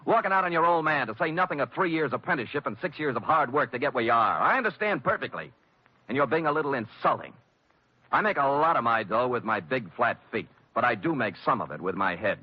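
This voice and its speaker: An actor playing a wry, hard-boiled private detective, with sardonic, clipped delivery in 1940s radio noir style. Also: male voice, mid-range voice, big pitch swings, fast, husky. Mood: sardonic, forceful. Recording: dull old radio broadcast recording.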